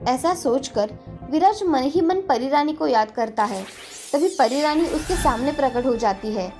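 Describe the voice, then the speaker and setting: A woman's voice: high voice, animated, measured pace, darkish tone. A woman storyteller giving scripted narration of a children's fairy tale. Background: faint background music.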